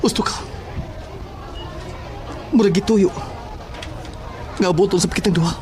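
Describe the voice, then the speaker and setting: A man's voice: sits high, steady tone, a little slow, mellow, a faint crowd, a little hiss or hum. A man playing an earnest, worried young son in a horror audio drama.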